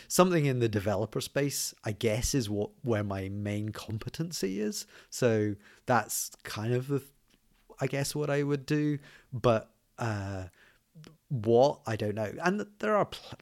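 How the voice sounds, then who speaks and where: A man with a light accent mixing Scottish and southern English, chatting as a podcast guest and often restarting his sentences with fillers. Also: lowish voice. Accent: mixed Scottish and southern English. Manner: hesitant, many restarts and fillers. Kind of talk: casual podcast conversation.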